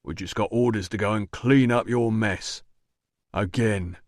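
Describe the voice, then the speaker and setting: A man voicing a surly, rough-spoken working-class male doorman in an audiobook, blunt and slow. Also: fairly low pitch, no room sound, clean studio sound, natural voice. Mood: aggrieved. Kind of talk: audiobook dialogue.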